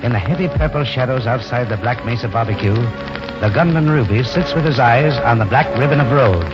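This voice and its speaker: 1940s radio announcer man over loud music. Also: medium pitch, brisk, lo-fi sound. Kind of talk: dramatic 1940s radio scripted narration.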